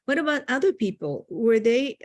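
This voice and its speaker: A woman putting questions at an online meeting, phrasing them haltingly and deliberately. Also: fairly high pitch, very animated. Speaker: meeting participant asking questions.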